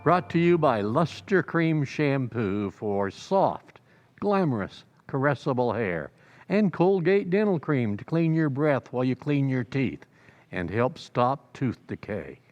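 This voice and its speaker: A man's voice: mid-range voice, sing-song, unhurried, darkish tone, highs cut off. A man with an American accent announcing and reading commercials in an old-time radio style.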